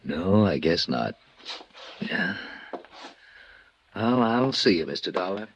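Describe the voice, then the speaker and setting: A decent, regretful lawyer in an old radio drama. His very low voice is quiet, hesitant and resigned, on a dull vintage recording. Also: very animated, slow, a little hiss or hum, exaggerated voice. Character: subdued, remorseful male lawyer, quietly decent. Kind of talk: 1950s American radio drama acting.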